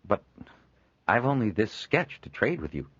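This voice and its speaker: A man's voice: lowish voice, sing-song, a little slow, a bit bright, slightly rough, no echo, voice pushed up, exaggerated voice. A timid young artist, as a man voices him, hesitant and stumbling over his words. The sound is dull and dry, close to the mic. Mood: unsure. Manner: tentative, stammering. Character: shy young male artist, unsure.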